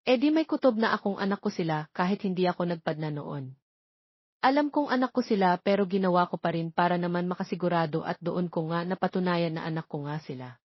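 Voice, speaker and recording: A woman's voice: on the low side, fairly quick, husky, dry recording, lo-fi sound. A woman voicing a hurt, earnest young father in a romance audio story, reproachful and pleading. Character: hurt, pleading young father confronting his lost love.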